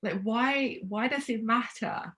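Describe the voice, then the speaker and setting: A woman's voice: on the high side, slow pace, a bit bright. A young woman talking casually as an interview guest, reflective, with hesitant restarts and light laughs.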